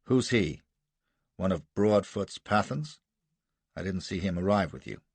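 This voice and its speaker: A man reading aloud and voicing a gruff, blustering American colonel with a barking, bullying manner. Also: low voice, animated, unhurried, no echo, full character voice. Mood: brusque.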